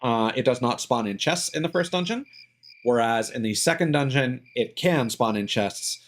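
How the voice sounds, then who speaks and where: Male game streamer, average pitch, casual and explanatory, halting delivery with thinking-aloud asides. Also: animated. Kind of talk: casual live gameplay commentary.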